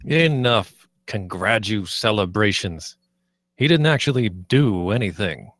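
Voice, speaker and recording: A man's voice: on the low side, lively intonation, unhurried, a bit bright, no room sound. A man playing a blunt, irritable spaceship captain in a sci-fi comedy table read. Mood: commanding, irritable.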